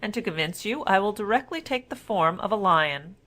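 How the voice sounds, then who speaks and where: A woman's voice: medium pitch, expressive, fairly quick, a bit bright, close to an everyday voice. Fairy-tale ogre, read by a woman: proud, stern, easily affronted, given to boasting. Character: stern, proud male shape-shifting ogre.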